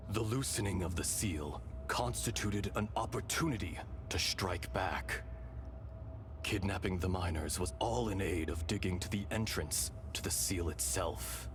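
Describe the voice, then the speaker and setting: An actor playing a calm, wistful ancient dragon spirit in human form for a video game, speaking solemnly and deliberately. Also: male voice, deep voice. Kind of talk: dramatic video game voice acting. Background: game music.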